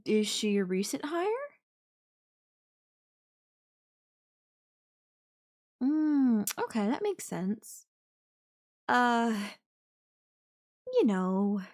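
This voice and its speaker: A woman playing a clingy, possessive girlfriend who feigns sweet innocence in an audio roleplay, speaking coyly and hesitantly with hums and pauses. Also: a little high, big pitch swings, darkish tone, full character voice. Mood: probing, uneasy. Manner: hesitant, drawn-out, coy delivery with hums and pauses. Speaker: voice actor. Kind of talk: girlfriend audio roleplay, one side of a call.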